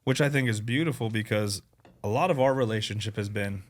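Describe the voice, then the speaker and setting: A man's voice: on the low side, brisk, no echo. A man hosting a podcast, asking questions in a casual, teasing way. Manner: joking, teasing. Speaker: podcast host. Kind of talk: casual interview.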